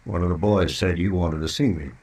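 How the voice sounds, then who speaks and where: A man acting a wary, gruff American gambling boss in an old Western radio drama, curt and haughty. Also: low voice, steady tone, brisk, darkish tone. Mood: haughty, irritated.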